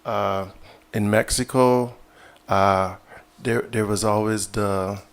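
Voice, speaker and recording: A man, a physician guest in an interview, answering in a measured, reflective way with many fillers and restarts. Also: lowish voice, fairly even intonation, clear, resonant tone.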